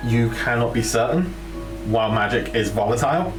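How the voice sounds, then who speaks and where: A man running a tabletop game as game master, improvising his narration in a hesitant, halting way. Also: medium pitch, lively intonation. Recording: roomy echo, music behind the voice. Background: music.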